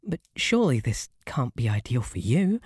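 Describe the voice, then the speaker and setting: A man with a British accent playing a shy, devoted, submissive bunny butler in an intimate ASMR roleplay, softly spoken with a formal, deferential, faltering manner. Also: medium pitch, sing-song, normal speed, slightly rough, dry recording, studio quality. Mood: gently concerned. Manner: flustered, deferential, formal, stammering. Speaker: roleplay audio performer. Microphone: close, dry microphone.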